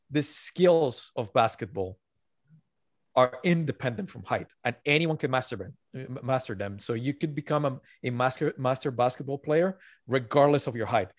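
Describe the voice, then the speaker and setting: A man's voice: medium pitch, moderate pace, no echo, clean studio sound. A man who is not a native English speaker, talking earnestly as an author guest on a podcast and repeating his key points. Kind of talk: podcast interview.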